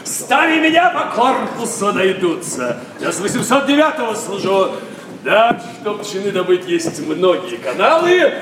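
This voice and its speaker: An actor playing a blunt, dim and self-satisfied army colonel in a classical verse comedy, with a bluff, barking stage delivery. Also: male voice, high-pitched, sing-song, unhurried, background noise. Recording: echoey, distant stage with audience.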